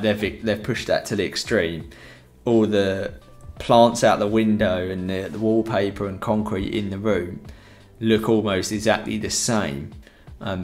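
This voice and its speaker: A young man with a headset mic, giving a rambling, chatty photography tutorial in a room at home. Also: on the low side. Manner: rambling, chatty, many fillers. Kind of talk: casual live-stream tutorial.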